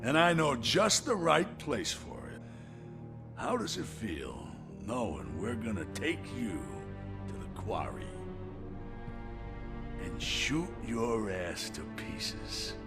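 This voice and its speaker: An actor playing a menacing, sadistic biker gang boss with a taunting, theatrical drawl in a video game. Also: male voice, mid-range voice, huge pitch swings, takes it slowly, loud music behind the voice, exaggerated voice. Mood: gleeful, threatening. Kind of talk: video game cutscene acting.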